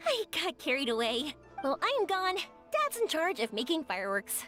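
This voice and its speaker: A bubbly young female artisan for an anime-style game, with an extremely high, swooping voice. She chats in a friendly, peppy way over light music. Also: huge pitch swings, normal speed, full character voice. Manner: bubbly, chatty, warm-hearted. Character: peppy, friendly young woman fireworks maker.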